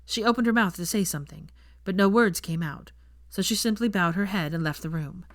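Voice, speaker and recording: A woman's voice: medium pitch, a little fast, no echo, studio quality. A woman narrating a fan fiction audiobook, reading scripted prose as a storyteller.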